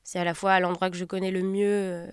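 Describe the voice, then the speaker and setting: A woman film director from Turkey, talking about her film in a reflective, halting way and often pausing to find her words. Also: on the low side, little pitch movement, clear, resonant tone, no room sound, clean studio sound.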